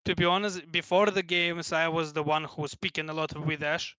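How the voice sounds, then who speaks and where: Bright Russian-accented young man, halting. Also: on the high side, steady tone, smooth, no room sound. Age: 20s. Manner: halting, many restarts and fillers. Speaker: guest, pro gamer. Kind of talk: casual interview chat.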